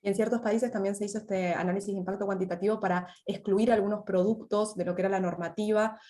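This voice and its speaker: A woman from Argentina with an Argentine accent, answering questions as a panelist in an online insurance webinar in a measured, agreeing way. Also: medium pitch, fairly even intonation, mellow. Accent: Argentine Spanish.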